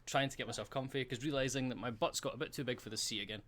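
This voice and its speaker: A Scottish man hosting a casual podcast, croaky from just waking up, jokey and teasing, laughing often. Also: medium pitch, very fast, fairly bright tone, slight background noise. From Scotland. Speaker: podcast host. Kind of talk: casual live-streamed podcast chat.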